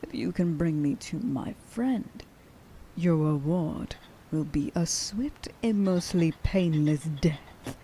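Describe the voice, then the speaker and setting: A woman playing a stern, grieving huntress in a dramatic ASMR roleplay monologue, halting and intense in her delivery. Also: low voice, lively intonation, mellow, a little hiss or hum. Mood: cold, menacing. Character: stern, grieving young huntress who hates werewolves.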